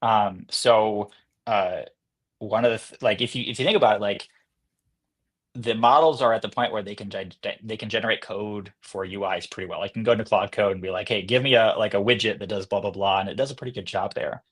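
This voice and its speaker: A man's voice: lowish voice, brisk, slightly rough. A man speaking casually as a company executive guest in an interview, feeling his way through his answers as he talks.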